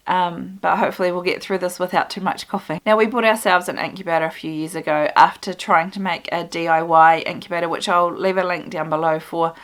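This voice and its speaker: Kiwi lady with a croaky gravelly voice, lowish, chatty how-to with lots of ums. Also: fairly even intonation, a little fast, hardly any pauses. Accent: New Zealand. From New Zealand. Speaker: homestead video host. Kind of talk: casual tutorial. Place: small music studio indoors.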